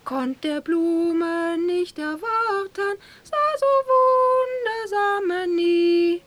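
An actress playing a young, forthright, lovestruck sultan's daughter in a rhymed fairy-tale audio drama, with a lilting, theatrical delivery. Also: female voice, extremely high pitch, drawn-out words, a little hiss or hum, full character voice. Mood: wistful singsong.

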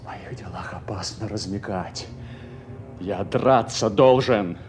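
A man's voice: on the low side, slow, a little mellow, soft background music. An actor playing an ambitious, coldly ruthless nobleman and commander in a classical audio drama, with calculating, declamatory delivery. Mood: cold resolve.

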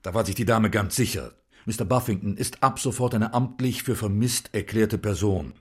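A stern, methodical police inspector, questioning in a slow, formal way. His voice sits low and slightly husky. He weighs every word. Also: a little mellow. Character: stern male police inspector, measured and probing. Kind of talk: audio drama acting.

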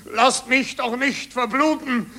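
An actor playing a desperate, dying wounded soldier who pleads hoarsely in rhyme in a 1940s radio play. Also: male voice, very high voice, takes it slowly, sharp tone, heavily acted. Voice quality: hoarse, strained. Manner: pleading, repetitive, chanting in rhyme. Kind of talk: theatrical 1940s radio acting in verse.

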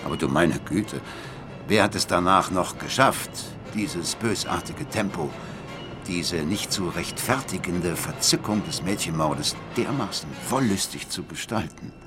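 An actor playing a blind, worldly music critic in a radio drama, speaking eloquently and deliberately with bitter passion. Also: male voice, sits low, lively intonation. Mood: reminiscing, intense. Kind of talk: radio drama dialogue and monologue. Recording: music behind the voice.

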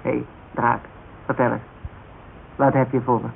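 An actor playing a shrewd, dryly ironic private investigator in a radio drama, casual and teasing, with speech. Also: male voice, medium pitch, level tone, moderate pace, creaky, natural voice. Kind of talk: Dutch radio drama dialogue. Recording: dull, hissy old radio recording.

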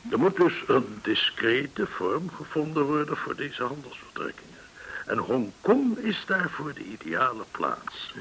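An actor playing a courteous, shrewd, well-connected informant in a Dutch radio drama, speaking in a formal, deliberate way. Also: male voice, medium pitch, big pitch swings, fairly bright tone, poor audio. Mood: measured explaining. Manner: formal, measured, deliberate explaining. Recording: hissy old radio recording.

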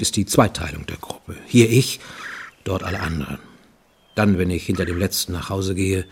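An actor playing a brooding, lonely man confessing his troubled youth in a radio play, halting, intense and confiding, often close to whispering. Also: sits low, creaky, husky, heavily acted. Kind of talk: dramatic first-person monologue in a radio play. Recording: studio radio play with music underneath.

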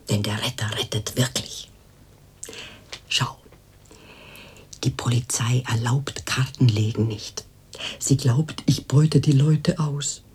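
Radio drama casting: aging madam turned fortune-teller, cooing and pious, very low husky voice, unhurried. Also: female voice, a little mellow. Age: older. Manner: cooing endearments, theatrical.

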